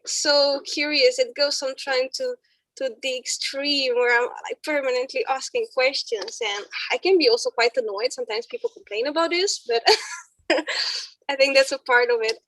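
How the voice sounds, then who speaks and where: A woman's voice: high voice, measured pace, a bit bright, no echo, rough recording. A young woman from Romania, a student introducing herself in an online workshop, chatty and searching for words. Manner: chatty and searching for words, with frequent yeah fillers.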